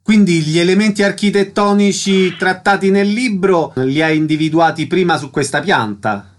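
Higher-pitched male radio interviewer. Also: moderate pace. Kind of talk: concise, prepared interview questions.

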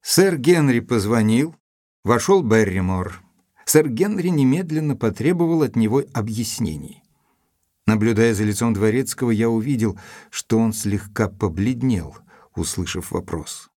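An actor playing a thoughtful gentleman investigator, narrating a mystery in a measured, deliberate literary style. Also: medium pitch, lively intonation, dry recording, studio quality. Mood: measured, suspicious. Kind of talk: dramatized first-person literary narration.

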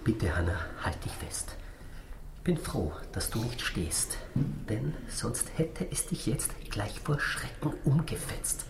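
A man playing a resentful middle-aged uncle in a rhyming radio comedy, speaking in a hushed, conspiratorial way. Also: medium pitch, very animated, slow, exaggerated voice. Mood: agitated, conspiratorial. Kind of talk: rhymed radio play acting. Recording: echoey church-like room, clear background noise.

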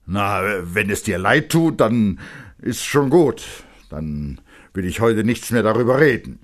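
A man's voice: on the low side, lively intonation, unhurried, pitched above the performer's own voice. A man voicing a stern, hot-tempered father who talks in a hard, scolding manner in a story reading. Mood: relenting, stern. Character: tall stern angry father, gruff and authoritative.

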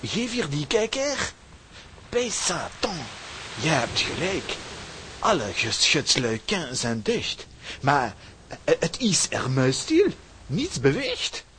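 Blustering pirate captain, swinging wildly. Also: male voice, a little high, huge pitch swings, takes it slowly, a bit bright, slight background noise, full character voice. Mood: incredulous, commanding. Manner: blustering, commanding. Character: notorious male pirate captain, gruff and blustering. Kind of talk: children's audio drama acting.

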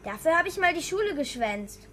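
A woman's voice: very high voice, big pitch swings, a little fast, fairly bright tone, exaggerated voice. A female performer playing an earnest schoolgirl daughter, persistent and chiding, in a children's radio play. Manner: matter-of-fact, persistent, chiding.

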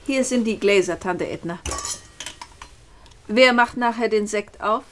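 An actress playing an apologetic, deferential niece in a German radio play. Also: female voice, mid-range voice, a little slow, noisy background, close to an everyday voice. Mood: polite. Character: contrite niece, pleading with her aunt.

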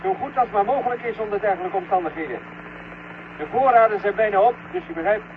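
An actor playing a weary, anxious astronaut stranded on the moon, speaking earnestly through a radio filter in an old drama. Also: male voice, high voice, fast talker, narrow, tinny audio. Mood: relieved, weary. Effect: radio-link filter. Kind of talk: 1970 Dutch radio drama acting. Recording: thin, noisy radio-link sound.

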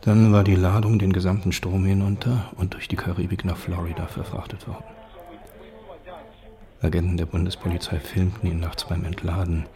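A very low-voiced man reads documentary narration. His delivery is sober and factual, with a flat, level intonation. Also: normal speed, crowd noise. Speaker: narrator reading documents and facts.

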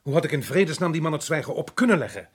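An actor playing a harried, indignant middle-aged colonial resident in a radio drama, exasperated and sarcastic. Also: male voice, fairly high pitch, sing-song, very fast, husky.

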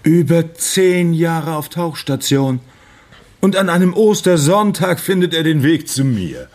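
An actor playing an expansive, theatrical middle-aged former leftist host in a radio drama. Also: male voice, fairly high pitch, expressive, a little slow, a little mellow. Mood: exuberant.

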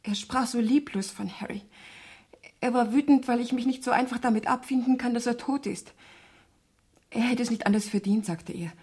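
Husky, troubled young woman. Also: on the high side, normal speed, darkish tone. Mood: distressed. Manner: hesitant, earnest. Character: troubled, conflicted young woman, polite but stubbornly loyal. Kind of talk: 1970s German radio crime drama acting.

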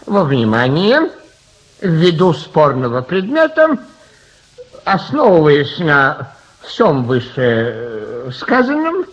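An actor playing a flustered, courteous judge in a comic radio play, halting and pompously polite. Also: male voice, a little high, heavily acted. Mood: pompous dithering. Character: flustered, patient, courteous old-fashioned male judge. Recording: dull, lo-fi radio recording.

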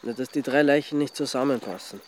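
A man's voice: medium pitch, brisk, a little mellow, a little hiss or hum, close to an everyday voice. A man playing a dogged, reassuring amateur sleuth with an Austrian accent in a naturalistic radio drama. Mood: apologetic, reassuring.

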